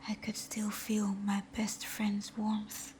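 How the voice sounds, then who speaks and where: A woman's voice: mid-range voice, monotone, a little hiss or hum, close to an everyday voice, low-quality recording. Woman acting a timid, whispering villainess. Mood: hesitant, vulnerable. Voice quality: soft, breathy whisper. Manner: hesitant, halting, intimate. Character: timid, tender female fox supervillain confessing to best friend. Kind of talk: whispered ASMR roleplay.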